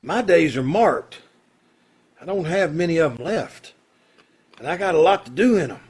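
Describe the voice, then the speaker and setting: A man's voice: a little high, animated, normal speed, a little mellow, husky. A man playing a brooding, self-loathing drifter in an old time radio drama, with blunt, slangy tough-guy talk. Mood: agitated, despairing.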